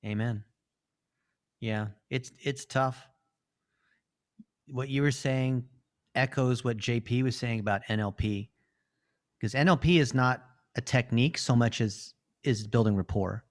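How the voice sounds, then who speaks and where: A man's voice: mid-range voice, unhurried, long pauses. A man hosting a group coaching call, encouraging and full of affirmations.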